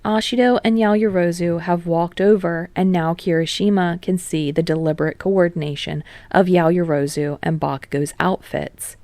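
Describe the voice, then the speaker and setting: A woman's voice: fairly low pitch, fairly even intonation, normal speed, few pauses, a little mellow, no room sound. A woman reading scripted fiction expressively as an audiobook narrator.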